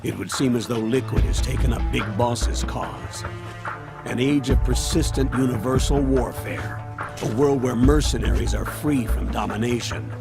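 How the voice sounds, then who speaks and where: A man playing a grave, gravelly-voiced advisor. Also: mid-range voice, music in the background, natural voice. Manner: measured, grave explaining. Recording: game cutscene with loud score.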